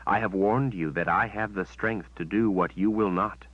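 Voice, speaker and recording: Man voicing a smug menacing witch, cold measured delivery, lowish, dry dull recording. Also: steady tone, dry recording, lightly acted.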